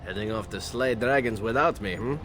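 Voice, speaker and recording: An actor playing a teasing, roguish adventurer in a video game, playful and mock-wounded. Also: male voice, on the low side, a little fast, a bit bright, faint music behind, natural voice.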